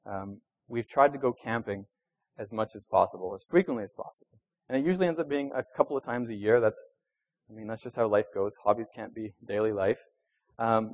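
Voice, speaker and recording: Man preaching in a relaxed, chatty way. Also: mid-range voice, dull audio. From Venezuela. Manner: conversational preaching with fillers, reads scripture with rising emphasis. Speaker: pastor. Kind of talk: sermon.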